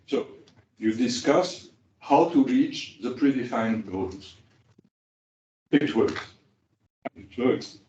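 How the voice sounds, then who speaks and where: A man speaking as a cooperative practitioner on a panel, pausing often with fillers. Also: medium pitch, a little mellow. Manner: halting, many pauses and fillers. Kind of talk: panel discussion remarks. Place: large conference hall. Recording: echoey hall, distant dull mic.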